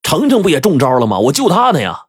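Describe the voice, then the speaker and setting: Man acting a high, jittery, sly young man. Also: very animated, fast talker, dark tone, husky, dry recording, studio quality, full character voice. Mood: nervous. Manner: stammering, wheedling. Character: young man, sly, nervous, excuse-making coward.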